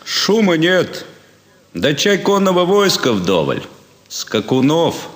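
A man's voice: on the high side, wildly swooping pitch, takes it slowly, heavily acted. An actor playing a cunning, lordly card sharper in a classic stage comedy, commanding and savouring his words. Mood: brisk, probing. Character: cunning male card sharper, a lordly gambler arriving at an inn.

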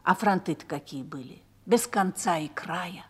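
An actress playing an earnest, motherly army wife in a radio play, with a husky, reflective delivery. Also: fairly low pitch, lively intonation, a little slow, a little mellow, soft background music. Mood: earnest, reminiscing. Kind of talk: Russian radio drama acting.

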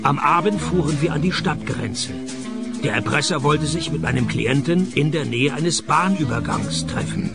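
An actor playing a laconic private detective in a radio play, narrating and talking in a deadpan, wry way. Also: male voice, a little high, normal speed, creaky, loud music behind the voice. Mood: dry, laconic.

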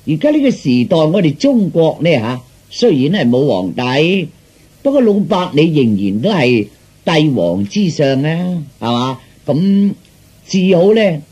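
Male storyteller as a fortune teller, Cantonese accent, flattering, theatrical patter, animated pitch, soft-edged tone. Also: slightly rough, heavily acted. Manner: exaggerated, theatrical salesman patter. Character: glib flattering fortune teller, wheedling old clients.

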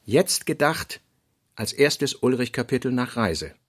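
A man with a mid-pitched, husky voice reads clipped, scripted notes for radio. His intonation moves up and down in a very lively way. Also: measured pace. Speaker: reader of author's working notes. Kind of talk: scripted reading of terse notes for radio drama.

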